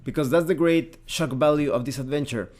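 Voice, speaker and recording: A man presenting a semi-scripted review of a tabletop game in a measured, explanatory and emphatic way. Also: fairly high pitch, a little mellow.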